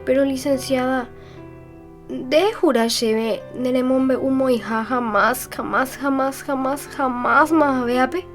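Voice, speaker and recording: A woman's voice: high-pitched, steady tone, slow pace, pitched above the performer's own voice. A woman voicing an anxious young patient who pleads with the doctor, in a radio drama with music behind. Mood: pleading. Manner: pleading, worried.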